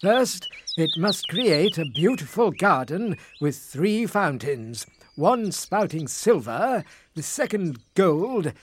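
A man's voice: high-pitched, sing-song, slow pace, slightly rough, long reverb tail, voice pushed up, heavily acted. A man voicing a grand, jolly storybook king who muses aloud and exclaims, easily impressed. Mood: commanding. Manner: exclamatory, hesitant musing.

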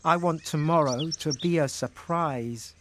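A man voicing a young prince enchanted into a golden crab, in a gentle, mysterious manner. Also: fairly high pitch, a little slow, a little hiss or hum.

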